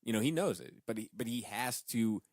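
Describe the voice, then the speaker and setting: A man's voice: medium pitch, a little fast, no room sound, clean studio sound. A man co-hosting a podcast, talking casually and earnestly about comic books, with some swearing. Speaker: podcast co-host.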